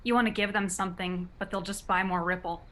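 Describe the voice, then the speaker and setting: A woman voices a teasing young college woman with a bright, forward tone and an easy, wry delivery. Her pitch sits in the middle range. The line readings are dry and knowing. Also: steady tone, a little fast, natural voice. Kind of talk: script reading as radio play.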